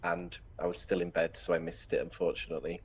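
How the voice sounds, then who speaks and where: A man's voice: sits low, completely flat delivery, fast talker, slightly rough. A Welsh man with some northern English in his accent gives casual, chatty live aviation commentary, greeting viewers in a friendly way. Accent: Welsh with northern English influence. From Wales. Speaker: live stream commentator and host.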